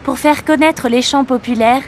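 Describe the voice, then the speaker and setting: A woman's voice: high voice, fairly even intonation, normal speed, a little hiss or hum, close to an everyday voice. An actress playing an eager young female singer in a scripted interview dialogue. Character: eager young Sicilian woman singer at a festival.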